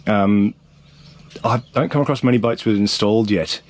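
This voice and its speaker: A middle-aged man with a fairly low voice chats casually. He comes across as an informal, curious host, asking questions and thinking things through aloud. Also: moderate pace, darkish tone. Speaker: host of a boating channel. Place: small wooden boat wheelhouse on the water.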